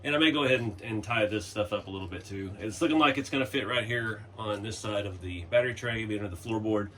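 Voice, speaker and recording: A man hosting a casual hobby tutorial from his garage workbench, talking in a laid-back, chatty way with chuckles. He sounds a little hoarse, as if he is losing his voice. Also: lowish voice, quick pace, a bit bright, slight background noise. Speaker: RC hobby channel host. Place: home garage workshop.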